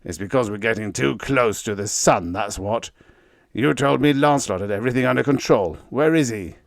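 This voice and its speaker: A man's voice: medium pitch, normal speed, creaky, no echo, studio quality, lightly acted. A man voicing an anxious older ship's captain who wails in despair. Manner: wailing, despairing.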